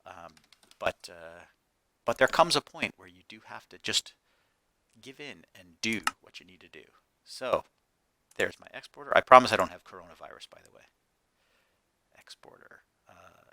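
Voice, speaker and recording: Chatty gaming host, male, slightly hoarse, animated and crisp, thinks out loud. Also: fairly low pitch, slight background noise. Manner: chatty, thinking aloud while crafting. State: hoarse, getting over a cold. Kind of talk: casual Let's Play narration.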